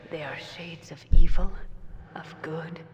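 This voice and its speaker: Sad ghost lady from a game, low breathy whisper, slow n solemn, noisy ambience behind. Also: steady tone. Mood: solemn foreboding. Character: sorrowful, loving female ghost, prophetic and ethereal. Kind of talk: video game voice acting.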